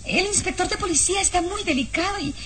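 A woman playing an anxious, gentle young bride-to-be, hesitant, breathless and faltering, in an old radio serial. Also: very high-pitched, quick pace. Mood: nervous, evasive. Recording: old radio recording, faint noise.

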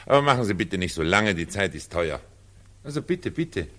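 An actor playing a pompous, evasive cabaret director in a 1940s radio drama, talking in a condescending, theatrical, patronizing way. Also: male voice, low-pitched, big pitch swings, normal speed, fairly bright tone, exaggerated voice. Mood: impatient, pompous.

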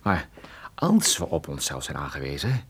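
A man playing a wry, stubborn private detective in a Dutch radio play, explaining things in a conversational, halting way. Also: very deep voice, wildly swooping pitch, slightly rough, heavily acted. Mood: urgent, resolute. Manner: conversational, hesitant interjections, explanatory. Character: wry, stubborn male private detective, injured but determined.

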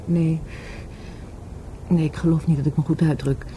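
An actress playing a wistful, grieving lover in a radio play, hesitant and halting as she searches for words. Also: low-pitched, steady tone, dark tone, slight background noise, lightly acted. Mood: wistful, earnest. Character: grieving, wistful lover of a murdered market trader. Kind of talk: Dutch radio play acting.

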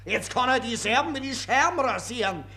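An actor playing an agitated, jeering street rabble-rouser in a 1940s German radio drama, shouting crude mockery. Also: mid-range voice, lively intonation, brisk. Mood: gloating, jeering. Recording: noisy, humming 1940s radio recording.

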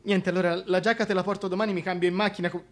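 A man's voice: high-pitched, little pitch movement, racing, lightly acted. A man playing a flustered, foul-mouthed presenter in a comic radio play, agitated, stammering and colloquial. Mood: dejected, pleading. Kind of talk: theatrical comic monologue.

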